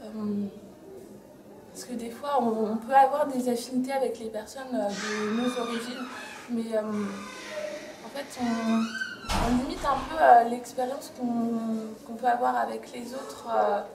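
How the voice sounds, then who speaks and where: A high school girl in her late teens answering interview questions, halting with many pauses and fillers. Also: steady tone, background noise. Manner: halting, many ums, giggles at slips. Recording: echoey room with crowd chatter.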